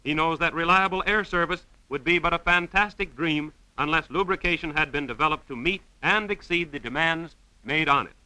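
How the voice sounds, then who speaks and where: A man's voice: a little high, brisk, hardly any pauses, pushed and bright, smooth, no echo, poor audio. A man with an American accent reading scripted 1930s film narration in an earnest, declamatory way. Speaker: newsreel narrator.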